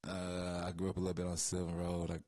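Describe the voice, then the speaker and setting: A man from the Atlanta area speaks as a guest in a casual interview. His voice is fairly low, with a Southern accent and a close, dry sound. He rambles through stories with plenty of slang and swearing. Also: completely flat delivery, quick pace, a little mellow, dry recording. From Georgia, USA, Atlanta area. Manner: slangy, profane, rambling storytelling. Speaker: guest, music creative.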